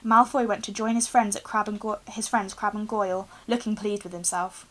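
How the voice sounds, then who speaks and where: A woman's voice: medium pitch, little pitch movement, brisk, a bit bright. Young woman reading a story aloud. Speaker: reader, teacher. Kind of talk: expressive storybook narration read aloud. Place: small room indoors with bookshelves.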